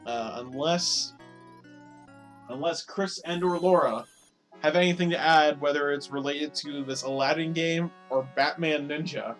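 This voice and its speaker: Slightly hoarse man streaming, rambling chat. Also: on the high side, a little slow, fairly bright tone, soft background music. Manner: rambling, filler-heavy, repeats words. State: slightly hoarse, losing his voice. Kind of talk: casual live-stream chat over gameplay.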